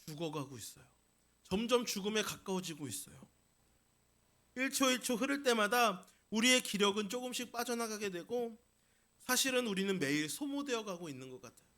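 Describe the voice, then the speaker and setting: A man's voice: high voice, animated, a little fast, sharp tone. A man preaching a Sunday sermon as pastor, emphatic, repeating key phrases and posing rhetorical questions to his congregation.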